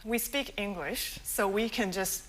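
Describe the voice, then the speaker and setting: Woman in her thirties from Singapore, chatty studio guest, mid pitch, faint hiss. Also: unhurried, a bit bright, low-quality recording. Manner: chatty, laughs often, many fillers. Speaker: author guest. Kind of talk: morning TV interview.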